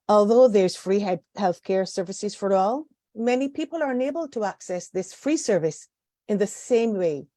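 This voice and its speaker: A woman from Barbados with a Barbadian accent, giving an earnest, measured prepared talk as a guest speaker, with occasional restarts. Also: medium pitch, dark tone, no room sound.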